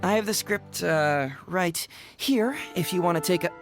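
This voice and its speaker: An actress playing a polite, hesitant event organizer in a video game. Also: female voice, lowish voice, over music. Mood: awkward, polite. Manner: halting, polite.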